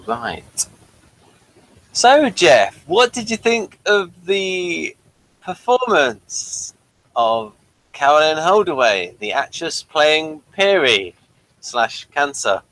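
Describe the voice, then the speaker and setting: A man hosting a conversational TV review in a measured, orderly way. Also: fairly high pitch, very animated, a bit bright, poor audio. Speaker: host of a TV review show. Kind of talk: conversational review, partly reading aloud from a guide.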